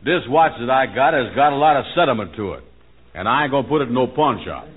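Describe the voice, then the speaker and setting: A big-talking but gullible sidekick from a 1940s radio comedy, played by a man. His folksy, blustery voice sits at medium pitch and rises and falls freely. It comes through a narrow, tinny vintage recording. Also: expressive, fast talker, crowd noise, natural voice. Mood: indignant, proud.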